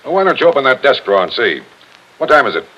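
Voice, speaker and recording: An actor playing a blunt, shrewd, folksy police captain with a skeptical, colloquial way of talking, in 1940s radio drama style. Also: male voice, mid-range voice, big pitch swings, fast talker, highs cut off. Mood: pressing, insistent.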